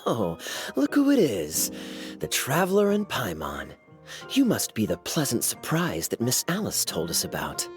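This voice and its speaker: An actor playing a suave, teasing young knight in an anime-style video game, smooth and playful in his drawling delivery. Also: mid-range voice, huge pitch swings, unhurried, faint music behind. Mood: breezy, amused.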